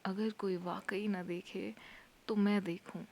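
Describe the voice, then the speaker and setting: Slow, hushed woman reciting a poetic monologue. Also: medium pitch, fairly even intonation, slight background noise. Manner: intimate, hushed, often breathy delivery with long pauses. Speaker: monologue performer. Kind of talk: poetic spoken-word monologue.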